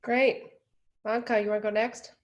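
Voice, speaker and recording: A woman's voice: on the high side, little pitch movement, normal speed, highs cut off. A woman who directs admissions, giving emphatic advice to applicants and repeating her points over a video call.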